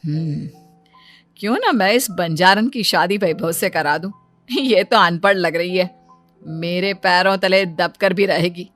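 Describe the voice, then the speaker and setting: A woman's voice: lowish voice, unhurried, long pauses, fairly bright tone, soft background music. A woman voicing a stern, domineering rich middle-aged mother in a cartoon story, in a scolding, bossy manner. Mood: scheming.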